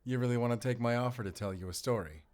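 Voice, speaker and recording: An actor playing a mysterious, protective and wry German-speaking werewolf hunter in an intimate audio roleplay, soft-spoken and teasing. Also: male voice, medium pitch, fast talker, natural voice. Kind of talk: cinematic audio roleplay, intimate bedtime storytelling.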